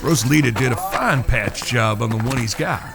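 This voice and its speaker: A man's voice: medium pitch, moderate pace, gravelly, natural voice. A man playing a courtly but hot-headed gunslinger in a Western audio drama, with polite, old-fashioned folksy phrasing. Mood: yielding. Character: male gunslinger, courtly to his sweetheart, hot-headed with enemies.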